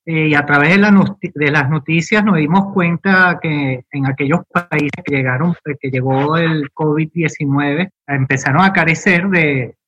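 A man's voice: a little high, moderate pace, few pauses, fairly bright tone, dull audio, slight background noise. A man, a garment maker explaining his work step by step in an interview. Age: 40s.

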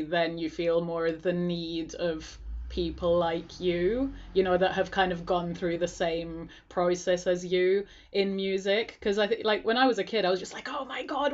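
A woman's voice: lowish voice, a little mellow, lo-fi sound. A woman from Greece, a musician guest, talking reflectively in a casual chat.

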